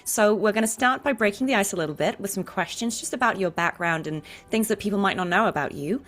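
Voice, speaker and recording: A woman's voice: mid-range voice, quick pace, fairly bright tone. A woman with a mid-range voice hosts an interview show. Her delivery is casual and conversational, like someone talking with a friend.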